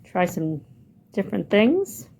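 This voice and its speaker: A woman's voice: mid-range voice, very animated, takes it slowly, vocal fry, mellow. A woman children's librarian leading a hands-on building lesson, asking the young viewers lots of encouraging questions. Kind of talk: hands-on craft lesson for kids.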